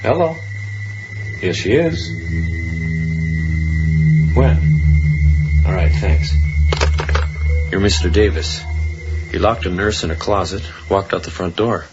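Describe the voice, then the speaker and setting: A dismissive psychiatrist in a radio drama, low in pitch but lively in inflection. He sounds matter-of-fact and unimpressed, on a dull old broadcast recording. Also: takes it slowly, pauses often, over loud music. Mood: brisk. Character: skeptical, unimpressed male psychiatrist colleague.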